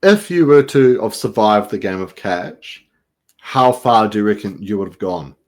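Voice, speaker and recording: A man's voice: on the low side, animated, moderate pace, a little mellow. A young man with an Australian accent hosting a casual podcast interview, laid-back and full of slang. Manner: laid-back, slangy, says "bro" and "reckon". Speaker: podcast host.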